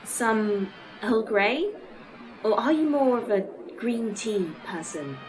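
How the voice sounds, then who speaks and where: An actress playing a polite, pedantic A.I. that speaks formally and precisely in a sci-fi audio drama. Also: female voice, fairly high pitch, very animated, takes it slowly, very noisy. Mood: chirpy, pedantic. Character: polite, pedantic female A.I. running a facility. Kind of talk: audio fiction acting.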